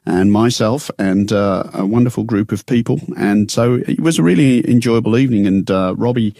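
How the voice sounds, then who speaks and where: Croaky, gravelly man. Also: lowish voice, moderate pace, mellow, no room sound, studio quality. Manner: chatty with frequent fillers. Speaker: radio co-presenter. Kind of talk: casual radio chat. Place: radio studio.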